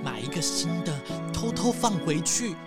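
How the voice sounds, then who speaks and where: A woman's voice: low voice, sing-song, normal speed. A woman playing a nervous, guilt-ridden young pony schoolkid in a children's detective audio drama, hesitant and pleading. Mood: guilty, confessing. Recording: loud music behind.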